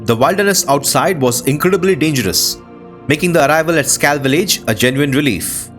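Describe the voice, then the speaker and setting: A man hosting a game playthrough, giving casual, expressive commentary with a sense of wonder. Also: a little high, brisk. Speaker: host of a game playthrough channel. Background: new-age music behind the voice.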